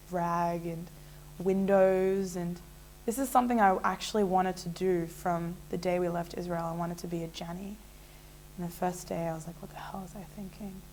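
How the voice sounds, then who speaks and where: A woman, telling her life story in a relaxed, chatty way full of fillers and restarts. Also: lowish voice, a little hiss or hum, poor audio. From Australia. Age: 20s. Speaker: interviewee. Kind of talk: casual personal storytelling.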